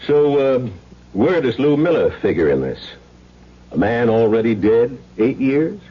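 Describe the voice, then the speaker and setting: An actor playing a measured, folksy small-town sheriff and old family friend in a 1970s radio drama. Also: male voice, medium pitch, sing-song, husky. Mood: measured, reasoning. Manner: folksy, deliberate, reasoning aloud. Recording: dull lo-fi old radio broadcast.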